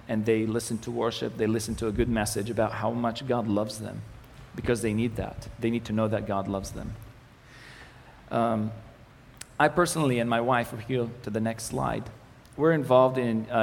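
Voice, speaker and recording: Young Arabic-speaking man from the Galilee giving a casual church talk, gravelly, with a long hall echo. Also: medium pitch. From Israel, Galilee. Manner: relaxed, joking, many fillers and restarts. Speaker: ministry communications manager giving a presentation. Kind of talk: church presentation with slides. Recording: echoey hall with faint noise.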